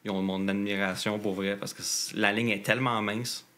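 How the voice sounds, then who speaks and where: A man's voice: lowish voice, flat delivery, normal speed, bright, forceful tone. A man with a Québécois French accent, a band member guest who chats enthusiastically in casual slang. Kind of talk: casual interview chat.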